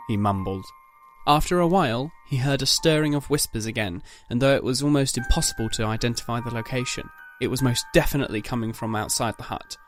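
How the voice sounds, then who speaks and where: Man, narrator, scripted dramatic storytelling, medium pitch, faint music behind. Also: a bit bright.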